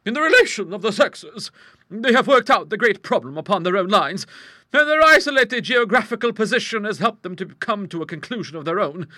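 A man voicing a brisk, outspoken middle-aged English aunt in a storybook, hearty, emphatic and used to commanding. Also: sits high, lively intonation, pushed and bright, dry recording, studio quality, voice pushed up. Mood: hearty, blunt. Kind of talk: storybook character voice in an audiobook.

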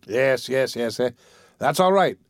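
A man's voice: mid-range voice, expressive, no echo, clean studio sound, close to an everyday voice. A man playing a formal young prosecutor. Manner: formal, measured courtroom questioning. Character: young Southern prosecuting attorney, formal, composed.

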